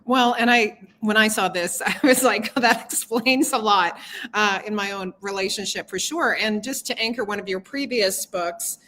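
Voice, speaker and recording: A woman's voice: medium pitch, moderate pace, pushed and bright. A middle-aged woman hosting a live video conversation, speaking reflectively with frequent restarts. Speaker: host. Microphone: video call.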